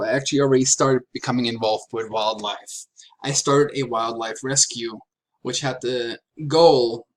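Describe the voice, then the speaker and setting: A man from Germany giving a prepared slide presentation as a graduate student, with deliberate, halting phrasing and pauses. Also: medium pitch, a bit bright. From Franconia, Germany.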